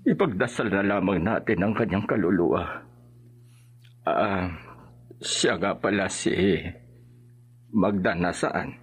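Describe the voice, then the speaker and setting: A man's voice: deep voice, takes it slowly, long pauses, breathy edge, heavily acted. An actor playing an older father, grieving and resigned, speaking sorrowfully in a radio drama.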